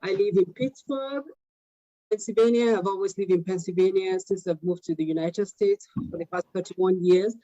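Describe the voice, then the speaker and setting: A woman in her fifties who grew up in Nigeria introduces herself on a panel. Her voice is mellow, and she speaks hesitantly with frequent ums. The audio is dry and lo-fi. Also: medium pitch, normal speed, no echo.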